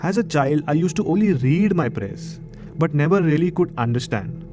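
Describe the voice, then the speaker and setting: A man's voice: on the high side, animated, moderate pace, over music. Indian-accented man hosting horror stories. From Maharashtra, India. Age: 30s. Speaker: horror show host and storyteller. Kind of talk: scripted horror show narration.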